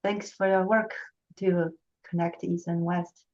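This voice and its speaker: A woman's voice: lowish voice, level tone, measured pace, a little mellow. A woman hosting an online gathering, responding to participants in casual, informal chat. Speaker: host of the gathering and Tai Chi teacher. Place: online video call. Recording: dull lo-fi video call audio.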